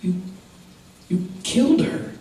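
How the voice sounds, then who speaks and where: Actor as grim bitter husband, radio drama, sardonic, deliberate, mellow, distant mic, echoey stage. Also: male voice, natural voice. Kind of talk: old-time radio drama acting on stage. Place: theater stage before a live audience. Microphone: stage mics, recorded by far camera.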